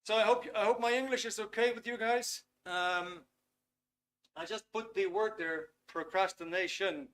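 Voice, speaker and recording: A man's voice: high voice, normal speed, fairly bright tone. Chatty Dutch-accented man hosting a webinar. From Netherlands. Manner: chatty, filler-heavy, reads chat messages aloud. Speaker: webinar host. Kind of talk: live webinar lecture. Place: small warm studio under lights.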